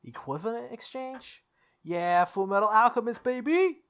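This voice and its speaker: A gaming host reading a visual novel aloud, breaking into casual, expressive reactions. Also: medium pitch, normal speed, clear, resonant tone.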